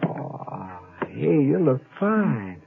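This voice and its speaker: Flustered American new dad, swooping old-radio voice. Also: male voice, mid-range voice, very animated, very slow, gravelly, heavily acted. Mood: happy, sheepish. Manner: stammering, exclamatory. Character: flustered, excitable new father, eager and bewildered. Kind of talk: 1950s American radio acting. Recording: tinny, noisy old radio recording.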